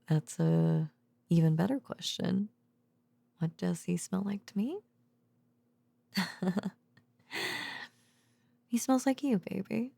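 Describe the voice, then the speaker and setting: A woman playing an affectionate, drowsy girlfriend in an intimate bedtime audio roleplay, murmuring softly and hesitantly with drifts into whispering. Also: medium pitch, heavily acted. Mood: musing, playful. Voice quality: soft, breathy murmur dipping into whispers and creak. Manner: hesitant, rambling, giggly, tender. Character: affectionate, sleepy, bashful girlfriend, a researcher home from work. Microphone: close microphone, dry.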